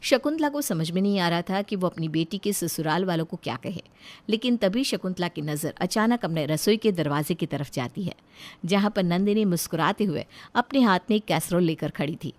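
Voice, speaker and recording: A woman's voice: on the low side, expressive, moderate pace, a little mellow. A woman narrating a scripted family story.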